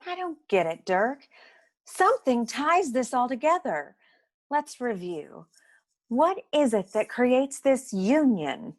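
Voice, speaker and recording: Woman acting a skeptical, higher-pitched detective's sidekick. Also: big pitch swings, takes it slowly, exaggerated voice. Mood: puzzled, insistent. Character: practical, skeptical woman, a noir detective's sleuthing partner. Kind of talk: comedic noir radio play acting.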